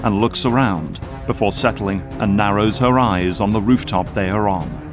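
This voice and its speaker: A man's voice: lowish voice, moderate pace, over music. A man narrating an action audio drama from a script.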